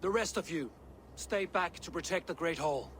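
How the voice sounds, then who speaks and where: A man's voice: a little high, moderate pace, a bit bright, slight background noise, close to an everyday voice. Havi, brooding king of the gods, played by a man. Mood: commanding. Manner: commanding, brooding. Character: Havi, proud aging male king of the gods. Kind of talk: video game cutscene acting.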